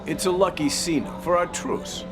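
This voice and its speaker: An actor playing a slippery, volatile mobster in a video game crime drama. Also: male voice, high-pitched, fairly even intonation, normal speed, music in the background, natural voice. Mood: smooth politeness.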